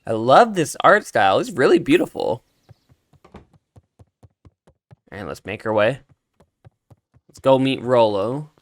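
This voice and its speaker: Animated man casually commentating over a game. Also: medium pitch, a little slow, long pauses, no room sound. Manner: animated, reacting aloud to the game. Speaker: let's play host. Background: game music with guitar.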